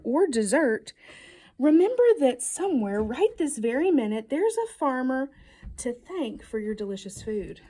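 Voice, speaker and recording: A woman reading a picture book aloud to children in an expressive, emphatic storytelling manner. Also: fairly high pitch. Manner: expressive, emphatic storytelling delivery with playful questions. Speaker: storybook reader.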